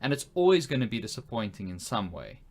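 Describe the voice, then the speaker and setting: A man's voice: lowish voice, sing-song, fast, darkish tone. A man co-hosting a casual film podcast, thinking aloud in an informal, tentative way. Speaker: podcast co-host.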